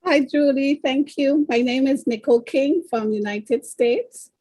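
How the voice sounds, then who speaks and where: A woman originally from Trinidad and Tobago giving earnest, measured remarks at a live online event. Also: high-pitched, warm tone, no echo. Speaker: event participant giving feedback.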